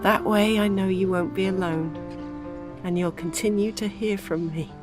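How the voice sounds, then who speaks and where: An actress playing an older widowed mother in an audio drama, grieving yet gently scheming as a matchmaker. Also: medium pitch, fairly even intonation, moderate pace, soft background music, lightly acted. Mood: wistful, tender.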